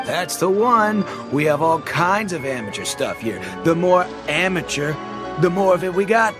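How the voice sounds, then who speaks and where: An actor playing a sly, easygoing fish seller in a video game, with a folksy, laid-back way of talking. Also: male voice, sits high, very animated, normal speed. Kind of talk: video game cutscene dialogue. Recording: game audio with background music.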